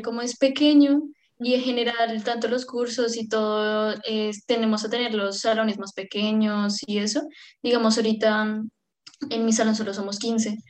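A woman's voice: a little high, darkish tone. A woman, chatting in a friendly way with casual fillers. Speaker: student guest. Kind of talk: casual conversation.

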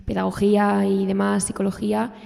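A woman's voice: mid-range voice, monotone, a little fast, creaky, mellow. A young woman with a Spanish accent from Spain, speaking casually as a guest in an interview. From northern Spain. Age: young adult. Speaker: student teacher, interview guest. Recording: long hall-like reverb.

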